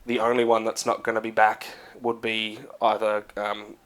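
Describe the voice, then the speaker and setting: Rambling Australian man, low voice. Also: monotone, moderate pace, a bit bright. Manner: rambling, lots of fillers and restarts. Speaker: co-host. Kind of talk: casual movie chat.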